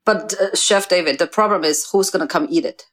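Woman, lower pitch, chef guest, casual podcast chat. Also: a little fast. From grew up in Singapore, Hong Kong and mainland China. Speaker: guest chef and restaurant owner.

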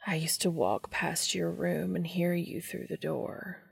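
A woman voicing a commanding, tender adult man in a romantic audio story, speaking low, slow and often in a whisper. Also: vocal fry, husky, heavily acted. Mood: hushed, commanding.

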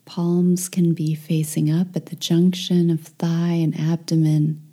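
A woman leading a guided meditation in a soft, breathy, near-whispered voice, gentle and soothing with long pauses. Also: lowish voice, level tone.

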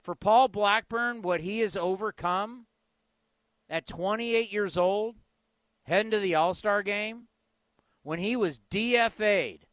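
Impassioned high-voiced sports radio host. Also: male voice, slow pace, a bit bright, dry recording. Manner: impassioned, emphatic, conversational.

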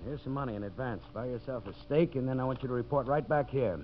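An actor playing a slick, fast-talking promoter and boss with a commanding salesman's patter, in 1950s radio drama style. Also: medium pitch, close to an everyday voice. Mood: brisk, confident. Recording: dull, hissy 1950s radio broadcast recording.

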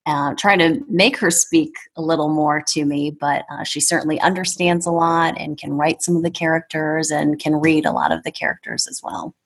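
Low husky woman, thoughtful. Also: expressive, low-quality recording. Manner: measured, thoughtful, with fillers. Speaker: guest. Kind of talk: casual conversation.

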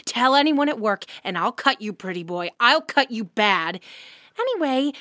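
A woman's voice: fairly high pitch, sing-song, moderate pace, sharp tone, no echo, studio quality. A woman playing a scrappy, small young tabletop gamer in an audio drama, combative and emphatic, full of mock threats. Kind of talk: audio drama acting, quick bickering dialogue.

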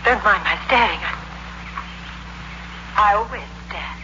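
A woman's voice: mid-range voice, slow pace, sharp tone. A woman acting a frank, suspicious young motorist in a 1960s radio suspense drama. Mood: wary, staring. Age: young adult. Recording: muffled old radio recording, faint noise.

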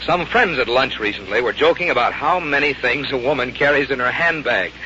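A man's voice: mid-range voice, brisk, sharp tone, a little hiss or hum. A man with an American accent, a radio announcer reading a polished, persuasive 1950s sponsor message. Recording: dull vintage radio broadcast, faint music.

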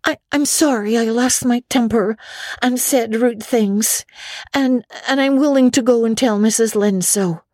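Earnest, dramatic young girl, high-pitched. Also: a little mellow, dry recording, clean studio sound. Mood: plaintive, sorry. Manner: halting, repeats words for emphasis.

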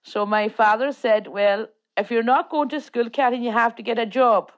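A woman's voice: a little high, fairly even intonation, moderate pace, lo-fi sound. Storytelling woman with a Donegal accent. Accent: Donegal Irish. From Tory Island, Ireland. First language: Irish. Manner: plain storytelling, long chains of "and". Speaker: interviewee. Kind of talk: oral history reminiscence.

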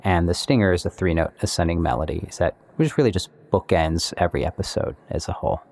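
A man's voice: low voice, quick pace, darkish tone. A man presenting as a composer and musician, explaining his work in scripted but conversational podcast narration. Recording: music under the voice. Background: guitar and plucked string music.

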